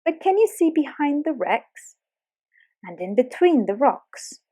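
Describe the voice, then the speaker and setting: A woman reads a rhyming children's picture book aloud with a sing-song lilt. Her voice is fairly high and swoops widely, and she takes her time over each line. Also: very animated, measured pace, warm tone. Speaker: storybook reader.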